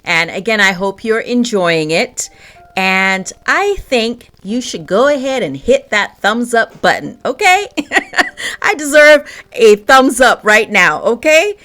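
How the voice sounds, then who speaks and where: A woman's voice: a little high, expressive, slow pace, a bit bright. A woman vlogger chatting casually through a baking tutorial in her home kitchen, laughing often between steps.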